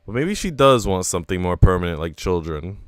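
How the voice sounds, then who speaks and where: A man's voice: low voice, very animated, fairly quick, no echo. A man live-streaming a visual novel, reading it aloud and chatting casually in slangy asides. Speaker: game streamer. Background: music.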